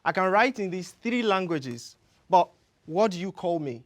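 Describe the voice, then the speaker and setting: A young Nigerian man whose first language is Yoruba, giving an earnest, rehearsed research pitch on a studio stage. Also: expressive. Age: young adult. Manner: earnest, measured, emphatic. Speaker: graduate student presenter. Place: large indoor studio stage.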